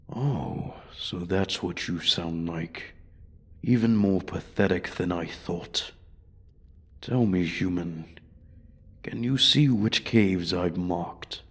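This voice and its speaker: Casting: male actor as a menacing dragon for fantasy ASMR roleplay, very low husky voice, slow condescending taunts. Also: full character voice. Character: menacing male dragon toying with human prey.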